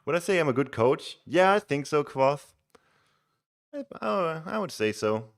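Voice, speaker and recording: A man's voice: fairly high pitch, measured pace. A man from Denmark with a Danish accent, chatting casually with viewers on a live stream and coaching a game player. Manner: relaxed, chatty, restarts words often.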